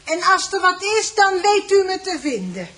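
A woman's voice: very high voice, sing-song, moderate pace, fairly bright tone, heavily acted. An actress playing a blunt, practical farmer's wife and landlady in a Dutch radio drama.

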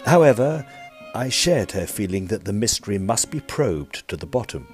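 An actor with a British accent playing a peevish, smitten Edwardian gentleman ghost hunter, narrating formally in an audio drama. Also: lowish voice, big pitch swings, normal speed, faint music behind. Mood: earnest, formal. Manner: formal, stiffly proper, fussy.

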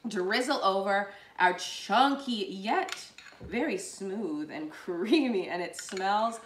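A woman's voice: mid-range voice, takes it slowly, slight background noise. A young woman hosting a live cooking show from her home kitchen, chatty and bubbly, talking to viewers as she assembles a dish. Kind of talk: live cooking demo, casual chat. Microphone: fixed camera at counter distance. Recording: echoey kitchen room.

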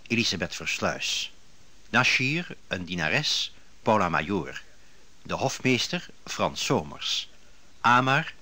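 A man's voice: on the low side, pushed and bright. A man reading cast credits as a formal radio announcer.

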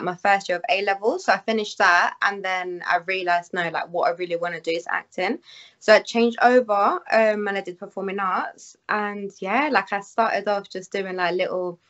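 A woman's voice: mid-range voice, fairly bright tone. Chatty Venezuelan-born woman. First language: Spanish. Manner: chatty, lots of "like". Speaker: guest, actress and model. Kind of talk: casual interview chat. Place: small room at home. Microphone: video call. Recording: muffled video call audio.

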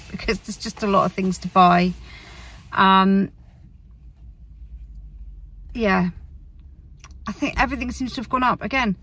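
A British woman talks casually in a fairly low, raspy voice. She wanders and corrects herself as she goes, hunting for the right word. The sound is a little distant, like a phone recording inside a parked car. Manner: rambling, word-searching, self-correcting. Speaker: family vlogger. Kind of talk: casual chat to camera.

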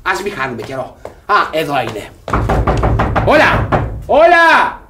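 An actor playing a grumpy, jealous, domineering middle-aged guardian in a classic radio comedy, blustering and sarcastic. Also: male voice, high-pitched, huge pitch swings, loud music behind the voice. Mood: blustering, indignant.

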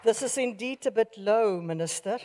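Loud South African woman mayor at a podium. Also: medium pitch, normal speed, some chatter behind, a little hiss or hum. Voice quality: loud, forthright, jovial. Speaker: mayor giving a vote of thanks. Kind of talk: formal public speech. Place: large marquee tent at an outdoor event. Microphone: podium microphone on a stand. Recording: reverberant live event sound.

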